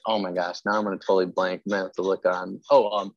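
A man with a low voice hosts a casual interview. He tells anecdotes in a chatty, animated manner, acting out quotes as he goes. The audio is dry and somewhat muffled. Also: fast talker, gravelly, no room sound. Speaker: podcast host; teacher.

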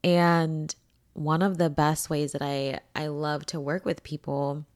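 A woman speaks in a low register with a hoarse, froggy edge. Her pace is slow and unhurried as she hosts a casual motivational podcast. She talks like a coach, informally, leaning on key phrases for emphasis. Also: little pitch movement. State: hoarse from allergies. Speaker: podcast host and empowerment coach.

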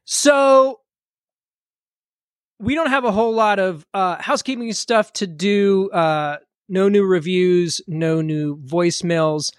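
Chatty man with a raised pitch. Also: slow, clean tone, no room sound, clean studio sound. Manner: relaxed, rambling with many fillers. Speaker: podcast co-host. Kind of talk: casual podcast chat. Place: home studio during a live stream.

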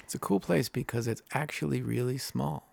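A man hosting a casual interview, relaxed and giving short replies. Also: medium pitch, normal speed, creaky. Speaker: interview host.

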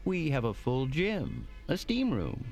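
An actor playing a polite, fussy, squeamish hotel manager in an audio drama, speaking in a formal, deferential and prissy way. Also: male voice, medium pitch, huge pitch swings, slow, gravelly, a little mellow, faint music behind, heavily acted. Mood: polite, soothing. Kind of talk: scripted audio drama acting.

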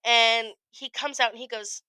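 A woman's voice: on the high side, moderate pace, smooth, dry recording, rough recording. A young American woman co-hosting a podcast, telling a story in casual chat and acting out quotes with some swearing. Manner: animated retelling with acted-out quotes, frequent profanity. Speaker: podcast co-host.

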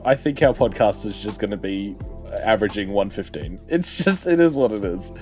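Sweary low-voiced young man ranting. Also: animated, music in the background. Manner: sweary, emphatic, quick to rant. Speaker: podcast co-host. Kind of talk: casual chat.

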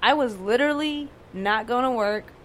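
A young woman with a fairly monotone voice, talking candidly and casually, swearing and using lots of fillers. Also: on the high side, moderate pace, a little hiss or hum. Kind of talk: casual conversation.

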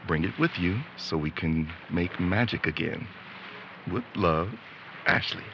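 A man's voice: deep voice, unhurried, creaky. A man acting an awkward, wistful young boyfriend in a naturalistic audio drama, talking hesitantly. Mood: wistful, embarrassed. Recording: dull lo-fi audio with faint background noise.

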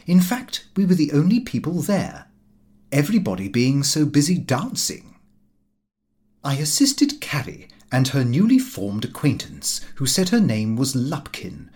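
Animated male audiobook narrator. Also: medium pitch. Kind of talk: scripted narration of a comic diary novel.

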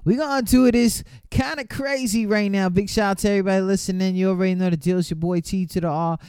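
A man with a high voice hosting online radio as a DJ, giving slangy, repetitive shout-outs. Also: steady tone, quick pace, clear, resonant tone, no room sound, studio quality. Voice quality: high-pitched voice. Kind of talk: hype radio shout-outs over music. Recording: music playing under the voice. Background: music.

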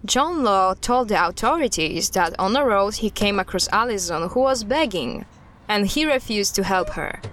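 A woman playing an earnest, guarded expert recounting dark history in an audio drama styled as a recorded interview, with a measured, serious delivery. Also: medium pitch, lively intonation, slight background noise, close to an everyday voice. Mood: measured storytelling.

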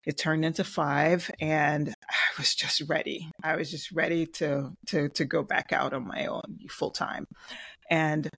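A woman's voice: low voice, level tone, creaky, fairly bright tone, breathy edge, no room sound. Friendly Jamaican-accented woman, dry sound. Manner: friendly, measured, many ums and pauses. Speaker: guest, web designer and digital marketer. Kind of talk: casual interview chat.

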